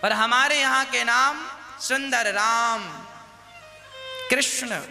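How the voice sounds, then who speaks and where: A man's voice: very high voice, slow pace, vocal fry, sharp tone, big-room sound, soft background music. A man preaching a devotional sermon, scolding and questioning his listeners with rhetorical questions.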